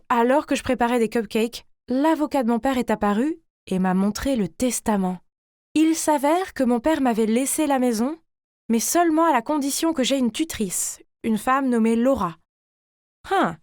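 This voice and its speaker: High-voiced woman narrating a dramatic story. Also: normal speed, no room sound, studio quality. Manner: theatrical, expressive, as a teenage girl telling her own story. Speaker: story narrator in the first person.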